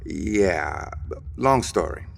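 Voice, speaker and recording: A man acting in an audio drama as a cagey investigator, measured, evasive and dryly polite, with a gravelly voice. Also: deep voice, animated, full character voice. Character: cagey, coolly polite male investigator and double agent. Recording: reverberant hall with background noise.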